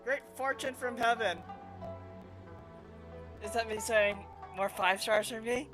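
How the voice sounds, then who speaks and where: A woman's voice: fairly high pitch, slow, music in the background. A woman giving casual live commentary as a game streamer.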